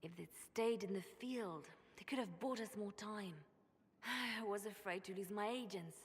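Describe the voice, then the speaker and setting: An actress playing a somber, guarded spymaster burdened by duty in a video game, speaking gravely and quietly. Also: female voice, mid-range voice, moderate pace. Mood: guilty, somber.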